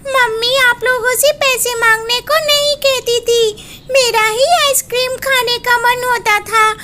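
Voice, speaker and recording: A woman's voice: extremely high pitch, fairly even intonation, measured pace, bright, forceful tone, pitched above the performer's own voice, full character voice. Woman doing a whiny little boy bird. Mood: tearful. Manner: pleading, whiny. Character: mischievous young boy bird, scheming then sorry. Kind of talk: storybook cartoon voice.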